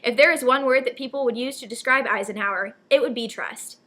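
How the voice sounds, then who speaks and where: A woman's voice: fairly high pitch, a little fast, pushed and bright. A young woman anchoring a scripted newscast, reading out stories as narration. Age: young adult. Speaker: news anchor.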